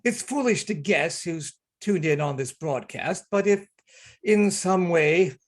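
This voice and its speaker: A man's voice: on the high side, expressive, unhurried. A man acting as director and announcer, introducing and narrating a radio play reading over a video call. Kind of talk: spoken introduction and scripted narration. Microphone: video call microphone.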